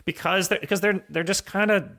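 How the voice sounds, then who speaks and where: Bright-voiced man explaining on a podcast. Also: sits high, fairly quick. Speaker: audio drama creator, podcast guest. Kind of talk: casual podcast discussion.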